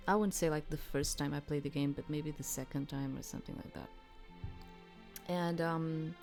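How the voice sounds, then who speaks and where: A woman giving casual, rambling gameplay commentary, thinking aloud with hesitant pauses and lots of filler words. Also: low voice, darkish tone. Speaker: game streamer, let's player.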